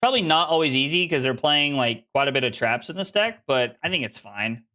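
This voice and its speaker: A man's voice: medium pitch, animated, brisk, sharp tone. A man in his late twenties, a card game streamer, chatting casually with viewers while he plays and rambling with lots of restarts. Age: 20s. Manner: rambling and self-deprecating, frequent restarts.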